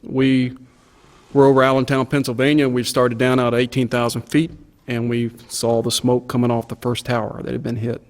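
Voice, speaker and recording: A middle-aged man tells a story from his working life as a pilot. He speaks informally and plainly, with vivid detail. He voices quoted exchanges as part of the account. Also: mid-range voice. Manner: plain-spoken, vivid, acts out quoted radio calls. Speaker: airline pilot giving a recollection. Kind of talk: informal spoken recollection of an event.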